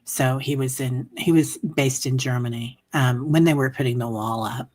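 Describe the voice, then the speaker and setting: A woman hosting a livestream, chatting casually and rambling with many fillers, reading out viewer comments, coughing and a bit hoarse. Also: a bass voice, steady tone, normal speed, darkish tone. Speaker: livestream meditation host. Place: home livestream.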